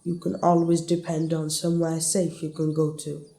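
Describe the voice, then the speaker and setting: A woman's voice: low voice, little pitch movement, moderate pace, gravelly, mellow. A young woman talking casually and loosely about her own ideas, often saying "like". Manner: loose, peppered with "like". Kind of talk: casual personal talk. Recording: roomy, faint background noise.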